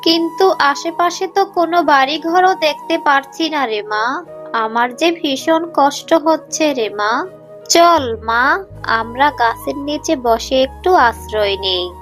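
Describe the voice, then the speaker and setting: A woman voicing a poor, weary and gentle mother fox who pleads sorrowfully in a cartoon, over music. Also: high-pitched, slow. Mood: sad, comforting. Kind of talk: children's cartoon story dialogue. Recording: music behind the voice.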